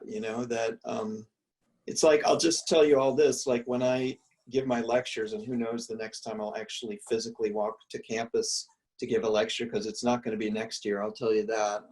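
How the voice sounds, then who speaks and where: A male art history professor musing aloud in relaxed conversation, full of restarts and fillers, speaking from a room at home on a video call. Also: mid-range voice, moderate pace, distant mic, low-quality recording. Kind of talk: reflective conversational answers.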